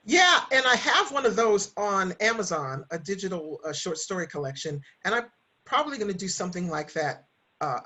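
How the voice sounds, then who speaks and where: A woman's voice: fairly low pitch, pushed and bright. A middle-aged woman chatting casually and candidly, telling personal stories in a reflective way. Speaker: podcast host and writer. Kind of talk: casual conversational storytelling.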